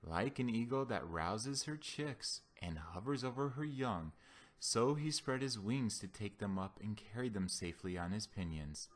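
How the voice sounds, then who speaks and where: A man giving a solemn scripture reading as a Bible reader, with deliberate, declamatory phrasing. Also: fairly low pitch, animated. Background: background music.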